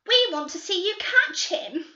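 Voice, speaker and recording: A woman voicing two excitable, greedy young sisters who talk in breathless, eager bursts. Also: very high-pitched, unhurried, voice pushed up, exaggerated voice. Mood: excited. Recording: roomy, slightly dull recording.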